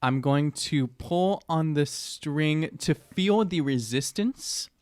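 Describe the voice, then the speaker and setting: A man playing a tabletop game, casually improvising a description of his actions in a halting, deliberate way. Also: on the high side, darkish tone, no echo, clean studio sound.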